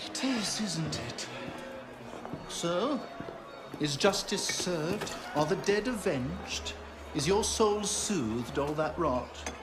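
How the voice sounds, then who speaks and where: A man's voice: high voice, lively intonation, slow. A sly, theatrical king of thieves. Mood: sardonic. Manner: mocking, theatrical, drawling. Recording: music underneath.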